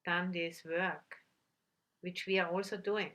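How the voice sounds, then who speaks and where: Woman leading a guided meditation, really steady flat pitch, bright clear-edged voice, lots of pauses. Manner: unhurried, gently instructing with long pauses. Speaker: meditation teacher.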